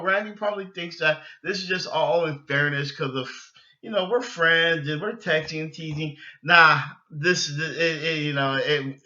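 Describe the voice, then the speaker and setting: A man hosting a sports fan podcast, ranting off the cuff in a halting, repetitive, exasperated way. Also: on the high side, sharp tone. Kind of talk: unscripted sports fan rant. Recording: dull lo-fi sound, echoey room.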